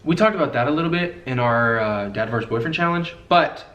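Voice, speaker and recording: A man's voice: medium pitch, expressive, a little fast, fairly bright tone, dull audio. A young man co-hosting a casual Q&A, laid-back and easygoing, often saying "you know.". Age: young adult. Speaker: co-host. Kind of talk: casual couple Q&A chat. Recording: echoey room.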